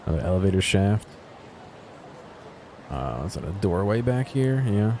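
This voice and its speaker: A man's voice: fairly low pitch, very animated, moderate pace, a little mellow, a little hiss or hum. A man giving casual, unscripted gameplay commentary, thinking aloud as he explores. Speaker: video game explorer and commentator.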